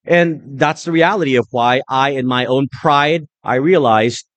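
Pastor preaching in short paced phrases. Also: male voice, mid-range voice, dry recording. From Philippines. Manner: personal storytelling in short phrases, pausing for the interpreter. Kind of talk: sermon with live interpretation.

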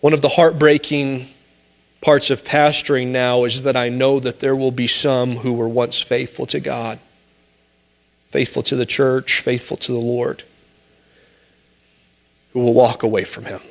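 A man's voice: mid-range voice, little pitch movement, unhurried, pauses often. A man preaching a sermon as pastor, pleading earnestly with his congregation and then telling a story with humorous asides.

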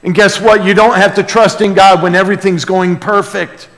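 American male pastor, slightly high-voiced, earnest, emphatic conversational sermon, long hall reverb. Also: little pitch movement, moderate pace. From United States. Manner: earnest, emphatic, dropping to hushed asides, rhetorical questions. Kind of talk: sermon, conversational Bible teaching.

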